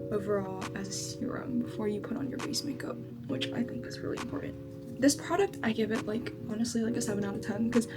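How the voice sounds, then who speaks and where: A woman in her twenties who grew up in China speaking Chinese first, chatting casually through a makeup routine with lots of likes and ums. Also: medium pitch. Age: 20s. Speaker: beauty vlogger. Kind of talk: casual makeup vlog chat. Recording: background music under voice.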